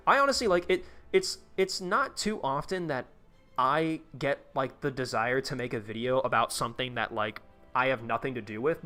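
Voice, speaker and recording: A man's voice: medium pitch, a bit bright. A man live-streaming a tycoon game, chatting casually with his viewers in a rambling, profane way while he plays. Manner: rambling, profane, talks to chat while playing.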